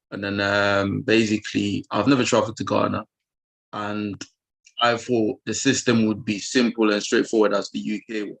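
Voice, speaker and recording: A man's voice: fairly low pitch, gravelly, a bit bright, low-quality recording. A man calling into an online forum, earnestly asking a long, rambling question with many fillers and restarts. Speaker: caller. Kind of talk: asking a question on a video call.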